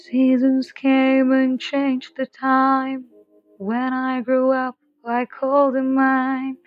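A woman singing a ballad softly in a slow lullaby style. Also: sits high, monotone, dry recording, muffled recording, soft background music.

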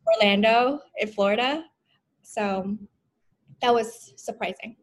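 A young woman from Vietnam, a physician assistant, chatting earnestly as a podcast guest and often pausing with fillers. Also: fairly high pitch, a crowd in the background. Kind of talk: casual interview.

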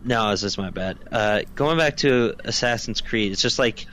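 A man with a slightly low, bright, forward voice talks casually as a podcast co-host. His phrasing is informal and loose, with plenty of "like" thrown in. There is faint noise behind him. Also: normal speed. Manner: informal, hedging with frequent "like". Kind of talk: casual chat.

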